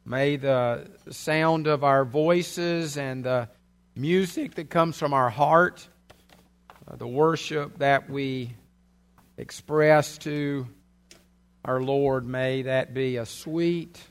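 A man's voice: mid-range voice, drawn-out words, pauses often, clean tone. An older man, preaching a sermon in a folksy, chatty way with many uhs while he has a head cold. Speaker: pastor.

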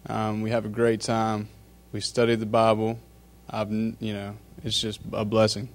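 A man's voice: fairly low pitch, monotone, normal speed. A man from North Carolina, giving a short, upbeat personal testimony in a plain way.

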